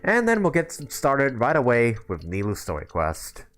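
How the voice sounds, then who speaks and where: A man's voice: mid-range voice, huge pitch swings, moderate pace, a bit bright. A man streaming a video game live, chatting casually and often rephrasing himself as he comments. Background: game music.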